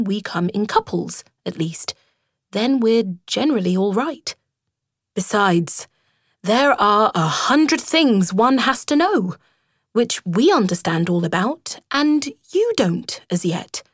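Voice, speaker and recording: A woman voicing a brave, kindly female water rat who talks in a lively, reassuring way as a storybook character. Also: slow pace, dry recording, studio quality. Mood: soothing, lecturing. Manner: lively and reassuring, animated swoops in pitch.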